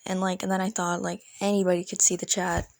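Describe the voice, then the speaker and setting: A woman's voice: fairly low pitch, level tone, fast, vocal fry, no echo, clean studio sound. A woman telling a casual, rambling storytime in informal, youthful slang. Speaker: storytelling video creator. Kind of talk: casual storytime about an online roleplay game.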